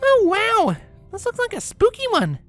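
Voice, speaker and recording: A woman's voice: extremely high pitch, wildly swooping pitch, moderate pace, soft background music, exaggerated voice. A woman voicing a bookish young alicorn mare in a story, emphatic and knowing. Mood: excited.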